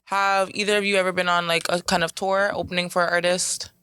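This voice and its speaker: Bright raspy-voiced woman podcast host. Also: on the low side, level tone, fast talker, no room sound. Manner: stutters now and then, asks questions lightly. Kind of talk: casual interview chat.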